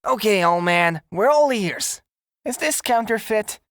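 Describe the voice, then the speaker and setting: A woman's voice: medium pitch, animated, measured pace, no room sound, clean studio sound, natural voice. A woman voicing an eager, cheeky young boy from a street gang in a comic dub, brash and slangy. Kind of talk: comic dub character acting.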